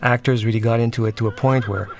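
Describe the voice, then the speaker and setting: A man, the film's director, talking through a commentary track and often pausing and restarting as he searches for words. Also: mid-range voice, steady tone. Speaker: film director.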